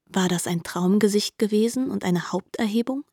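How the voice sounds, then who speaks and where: A woman's voice: medium pitch, normal speed, dark tone, husky, no echo, clean studio sound. A woman narrating a literary radio drama in formal, articulate prose delivery.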